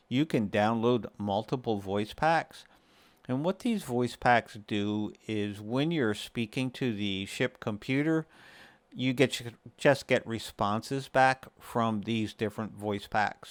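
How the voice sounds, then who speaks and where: A man hosting a gaming guide, narrating a casual tutorial in a measured, explanatory way with short pauses between phrases. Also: fairly low pitch, smooth, no room sound. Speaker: host of a gaming guide video series.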